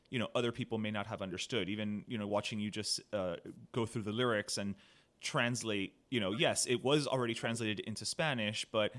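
A man's voice: lowish voice, pushed and bright. A man hosting a podcast, asking long, winding questions in a casual tone. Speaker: podcast host. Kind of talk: casual interview conversation.

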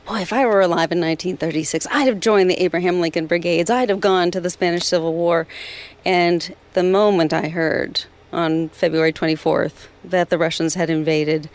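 A woman's voice: fairly low pitch, normal speed. A woman from southern California with an American accent, telling her story emphatically as an interview guest. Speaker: interview guest, volunteer rescuer.

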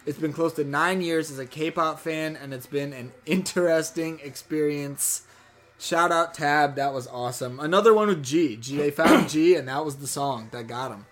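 A man co-hosting a casual podcast, reading listener messages aloud with upbeat shout-outs and easy laughter. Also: on the high side, normal speed. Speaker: podcast co-host.

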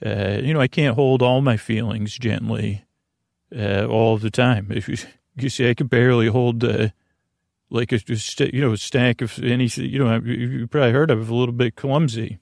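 A man hosting a bedtime podcast in a creaky, lulling voice, meandering gently through halting, self-interrupting tangents. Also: medium pitch, steady tone, dry recording, studio quality. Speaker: podcast host. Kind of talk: rambling, soothing bedtime monologue.

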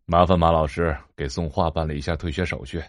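Domineering middle-aged tycoon father played by a man, very low voice, curt and pompous, close dry audio-drama sound. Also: flat delivery, brisk, no room sound, lightly acted. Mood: cold, menacing. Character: stern, domineering middle-aged wealthy patriarch. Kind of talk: Chinese audio drama acting.

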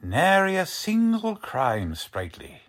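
A man's voice: high voice, wildly swooping pitch, takes it slowly, fairly bright tone, full character voice. A man playing a cocky, folksy police lieutenant with slangy, ungrammatical talk in 1940s radio drama style. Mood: jovial, blustering. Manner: slangy, ungrammatical tough-guy speech.

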